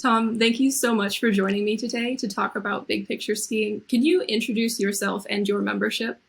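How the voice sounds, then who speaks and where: A woman hosting an interview, reading a polished intro and then asking clear, prepared questions. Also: mid-range voice, steady tone, moderate pace, slight background noise. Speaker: interview host.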